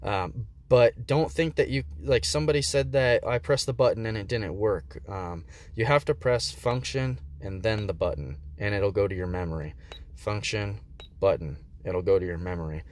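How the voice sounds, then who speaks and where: A man giving a casual, unscripted product review in a laid-back, hesitant way, with frequent pauses and ums. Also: lowish voice. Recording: faint background noise.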